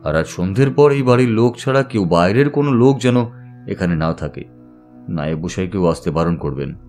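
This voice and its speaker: A man performs a grave tantric priest in an audiobook drama. The voice is very low and moves expressively, firm yet reassuring as it gives instructions, over soft music. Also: animated, fairly quick. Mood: grave, instructing.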